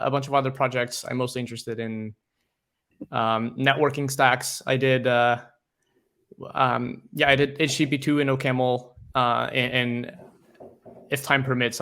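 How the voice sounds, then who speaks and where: Bright-voiced man, hesitant. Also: medium pitch, little pitch movement, normal speed, smooth. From Portugal. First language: Portuguese. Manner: hesitant, many restarts and fillers. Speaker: guest, open source developer. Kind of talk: casual interview chat.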